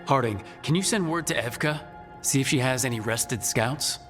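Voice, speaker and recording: Game hero played by a man: mid-pitched, steady, reassuring team leader, in long reverb. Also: moderate pace, natural voice. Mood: resolute. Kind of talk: video game dialogue acting. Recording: long hall reverb, music underneath.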